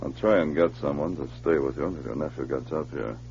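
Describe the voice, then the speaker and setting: A man acting a steady, kindly police sergeant of the frozen North in 1940s radio drama style, authoritative and reassuring. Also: rumbling low, fast, vocal fry. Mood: solemn, sympathetic. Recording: muffled, hissy old radio recording.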